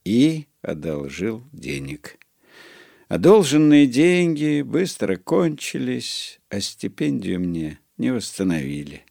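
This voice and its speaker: A man reading his own first-person memoir aloud in a measured, dryly ironic storytelling manner. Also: medium pitch, gravelly, a little mellow, no echo, clean studio sound. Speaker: narrator.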